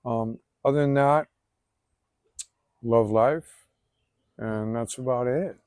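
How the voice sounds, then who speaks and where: A man in his forties from Hawaii, a tattoo artist and swimmer, talking in a relaxed, easygoing way as the guest, outdoors after a swim. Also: mid-range voice, takes it slowly, smooth, no room sound, studio quality. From Hawaii, Oahu. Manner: relaxed, even-toned, filler-heavy. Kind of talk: casual outdoor interview.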